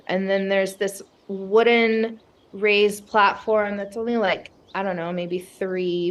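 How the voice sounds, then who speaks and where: Female guest in a coaching exercise. Mid-range voice, informal and halting, working ideas out as she speaks. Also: mid-range voice. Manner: halting, conversational, frequent hedging fillers. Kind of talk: casual, thinking aloud.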